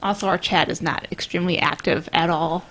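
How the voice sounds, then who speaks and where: A woman's voice: on the low side, fairly even intonation, a little fast, a bit bright, slight background noise, poor audio. A woman co-hosting a podcast in casual, conversational talk. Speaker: podcast co-host. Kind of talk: casual chat. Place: small room, round table.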